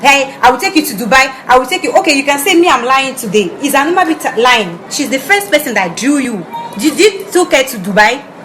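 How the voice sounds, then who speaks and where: Blunt accusing woman vlogger, high voice. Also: very animated, a bit bright, slight background noise. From partly Nigerian. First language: not English. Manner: blunt, accusing, halting English with restarts. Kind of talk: direct-to-camera rant. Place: Ivory Coast.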